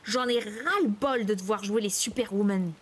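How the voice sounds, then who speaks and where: A woman's voice: mid-range voice, big pitch swings, moderate pace, fairly bright tone, a little hiss or hum. A woman voicing a hot-tempered, indignant boy from a storybook, blustering at his sister. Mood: angry bluster. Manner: indignant, blustering, detaching syllables when angry.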